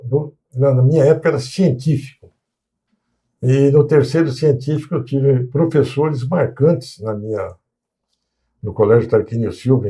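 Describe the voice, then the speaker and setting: A man in his sixties with a Brazilian accent, a guest in an interview, recalling his career at an unhurried pace with many restarts and hesitations. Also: medium pitch, mellow. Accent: Brazilian Portuguese, São Paulo coast. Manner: reminiscing, with frequent restarts and hesitations. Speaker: guest, civil engineer. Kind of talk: casual interview talk.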